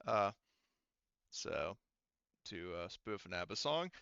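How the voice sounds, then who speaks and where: A man acts as game master in a casual online tabletop session. His voice is smooth and mid-pitched, recorded dry but a little dull, and he works through numbers haltingly, thinking out loud. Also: sing-song, no room sound. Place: remote online voice call.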